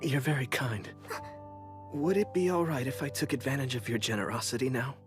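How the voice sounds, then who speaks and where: Anime dub role: man plays a polite, earnest teen boy statesman with formal phrasing and expressive range. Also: medium pitch, fairly quick, soft background music, natural voice. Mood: polite, subdued. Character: polite, composed teenage boy public official. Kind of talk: English anime dub acting.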